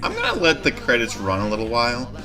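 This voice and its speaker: A man's voice: mid-range voice, wildly swooping pitch, fast talker, music in the background. A man hosting a reaction video with casual, off-the-cuff commentary.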